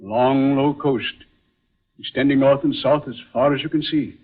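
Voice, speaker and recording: Excitable learned gentleman, tinny old radio. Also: mid-range voice, a little slow, breathy edge. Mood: awed certainty. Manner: precise, theatrical, exclamatory. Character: excitable, learned older gentleman, Judy's father. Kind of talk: 1940s radio acting. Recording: thin, phone-like old radio recording.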